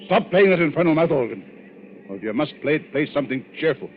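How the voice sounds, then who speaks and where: An actor playing a crusty, patronising older businessman in a 1940s radio thriller, with a gruff, blustering manner. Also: fairly high pitch, expressive, fast, slight background noise. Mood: irritated. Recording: muffled vintage radio recording with music.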